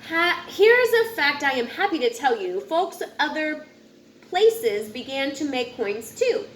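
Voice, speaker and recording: A woman reading a rhyming children's picture book aloud in an expressive, sing-song way, occasionally stumbling over a word. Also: high-pitched, measured pace. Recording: roomy echo with faint background noise.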